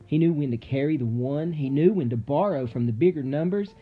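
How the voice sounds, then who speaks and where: A man reading a children's story aloud dramatically, with suspenseful, theatrical delivery and deliberate pauses. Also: fairly high pitch, slightly rough, phone-quality sound. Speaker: storybook narrator. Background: music.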